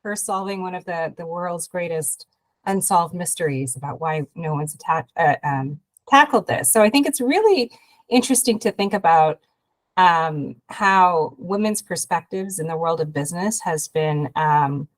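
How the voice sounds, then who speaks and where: A woman from Canada, hoarse from a cold, giving a halting webinar talk over a video call with many fillers and restarts. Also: lowish voice. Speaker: guest speaker, investment program head. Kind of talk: webinar presentation.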